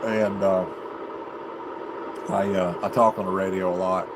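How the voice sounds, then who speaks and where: An American man with a lowish voice rambles casually to his livestream viewers. He takes his time, pausing and restarting as he thinks aloud. Loud, steady noise runs behind his voice. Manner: halting, unhurried, thinking aloud. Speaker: amateur livestreamer and CB radio hobbyist. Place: home basement.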